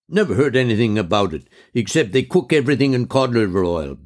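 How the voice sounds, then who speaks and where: A man voicing a dismissive, offhand gentleman friend. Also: medium pitch, sing-song, a little fast, natural voice.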